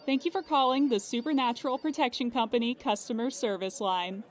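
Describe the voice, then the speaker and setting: A woman plays a customer service agent in an audio drama with a notably high voice. She is formal, polite and unflappable, measuring out each phrase as if following procedure. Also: brisk, a loud crowd, very noisy, close to an everyday voice. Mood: courteous. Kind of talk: audio drama acting, scripted phone service.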